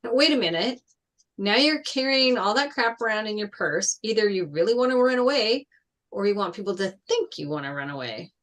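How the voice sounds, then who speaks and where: A woman's voice: mid-range voice, expressive, fairly quick, fairly bright tone, lightly acted. A woman reading an earnest, probing teenage jock boy in a casual, questioning way at a table read. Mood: challenging. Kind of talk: table read of a film script.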